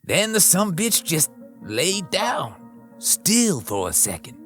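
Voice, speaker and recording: Slow, lively-pitched male horror storyteller. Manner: folksy rough frontier grammar, lively swings in pitch. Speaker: narrator. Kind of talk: first-person horror western audiobook narration. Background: music.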